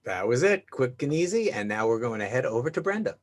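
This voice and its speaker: A man's voice: medium pitch, big pitch swings, fairly quick. A man with a mid-range voice acts as host of a networking video call. He talks casually, as an emcee would, passing the floor along.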